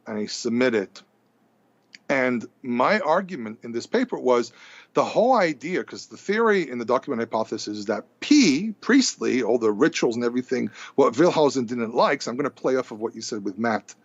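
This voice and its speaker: A man's voice: medium pitch, moderate pace, no room sound. Man telling a story, lo-fi. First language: Hebrew. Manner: animated storyteller, stumbles and repeats words. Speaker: podcast guest. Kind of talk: casual storytelling conversation.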